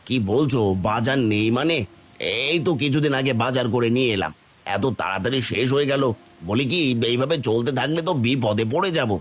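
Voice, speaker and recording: A man's voice: medium pitch, lively intonation, brisk, natural voice. A man playing a lazy, stingy village husband in a cartoon, grumbling and complaining. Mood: annoyed. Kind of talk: Bengali cartoon voice acting.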